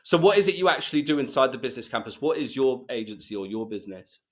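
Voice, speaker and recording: Casual, matey male interviewer, mid-pitched. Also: fast. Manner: informal, matey. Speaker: interviewer, host.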